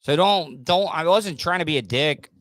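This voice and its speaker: A man's voice: a little high, lively intonation, moderate pace, a bit bright, dry recording. A man hosting a casual podcast, talking loosely with restarts and fillers, sweaty and coming down with a sinus infection. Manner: rambling, restarts and fillers, joking. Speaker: podcast host. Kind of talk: casual livestream chat.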